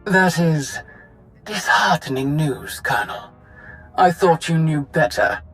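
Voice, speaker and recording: A performer playing an authoritative, high-ranking Sith lord in a video game, speaking formally and giving clipped orders. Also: slow, a bit bright, faint music behind. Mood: disappointed. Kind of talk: dramatic video game acting.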